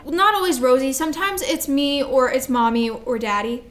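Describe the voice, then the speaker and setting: A woman playing an anxious, confused little girl with a hesitant, halting delivery in a staged drama reading. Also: sits high, lively intonation, a bit bright. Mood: anxious, confiding. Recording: roomy, echoing stage recording.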